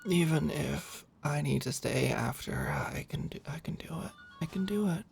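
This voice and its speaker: A performer of unclear sex playing a flirty, overworked office manager in an intimate ASMR roleplay, with a halting, teasing murmur. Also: mid-range voice, expressive, creaky, a little mellow, soft background music. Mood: flustered, defensive.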